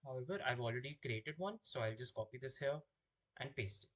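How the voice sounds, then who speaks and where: A man with a slightly husky voice giving a software demo, explaining things step by step as a product demonstrator. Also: mid-range voice, lively intonation, fairly quick.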